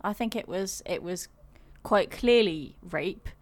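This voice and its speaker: A woman's voice: medium pitch, slow. Sarcastic woman. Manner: dry, sarcastic quips. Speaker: podcast co-host. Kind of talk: casual chat.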